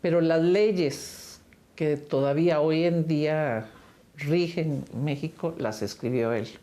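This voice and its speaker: Low-voiced older woman from Costa Rica. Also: takes it slowly, dark tone. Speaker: film director. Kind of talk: interview about her film.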